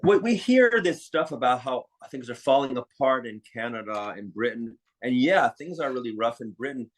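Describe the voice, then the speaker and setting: An American man talking conversationally as an interview guest, explaining things in an opinionated way. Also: mid-range voice. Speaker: interview guest, psychiatrist and author.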